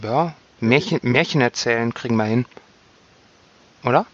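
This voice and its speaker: A man's voice: mid-range voice, moderate pace, muffled recording. A man co-hosting a podcast in casual banter, tossing in playful, ironic quips. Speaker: podcast co-host. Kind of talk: casual banter, quipping replies.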